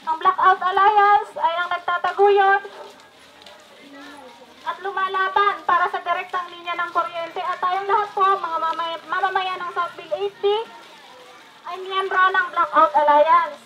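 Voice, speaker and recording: Very high-pitched Filipino woman addressing residents. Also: little pitch movement, measured pace. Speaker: protest announcer. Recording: dull, noisy field recording.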